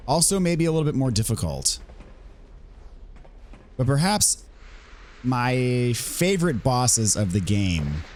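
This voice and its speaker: A man with a hoarse voice he is still getting back, casually explaining a game walkthrough in a relaxed way, with frequent ums and restarts. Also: medium pitch, takes it slowly, pauses often, slight background noise. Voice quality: recovering from voice loss. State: hoarse, recovering voice. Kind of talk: casual gameplay commentary.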